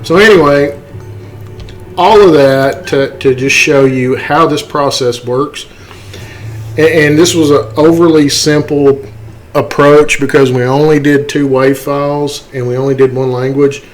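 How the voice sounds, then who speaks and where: A man's voice: fairly high pitch, steady tone, a little slow, soft background music, low-quality recording. A man giving a casual, unscripted tutorial in a folksy, self-deprecating way. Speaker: tutorial host. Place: indoors, voice-over to screen capture.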